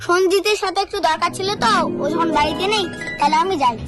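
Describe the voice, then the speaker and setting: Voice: very high voice, fast, loud music behind the voice. A performer playing an indignant young friend, polite but hot-tempered, in an amateur comedy skit, with a blunt, theatrical manner. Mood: polite, evasive. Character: son's indignant friend, polite then explosively abusive.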